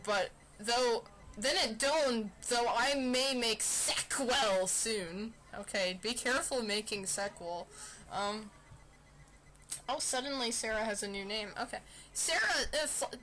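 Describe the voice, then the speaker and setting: A woman reads a story aloud in a bright, high voice. She is playful and mock-dramatic, unhurried, with a faint fan noise behind her. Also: poor audio. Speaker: reader in an amateur dramatic reading. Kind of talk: mock-dramatic fan fiction narration with casual asides.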